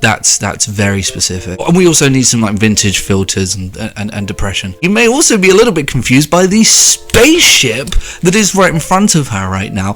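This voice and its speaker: A man in his late twenties giving a sarcastic, profane commentary monologue, with sudden pitch jumps for emphasis. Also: a bit bright. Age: 20s. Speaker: video commentator.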